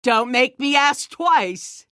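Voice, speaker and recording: An actress playing a calculating, self-righteous leader of a survivor community in a video game, speaking in a measured, condescending, politician-like way. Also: female voice, sits high, lively intonation, sharp tone, dry recording, clean studio sound, exaggerated voice. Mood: commanding, accusing. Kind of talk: dramatic video game voice acting.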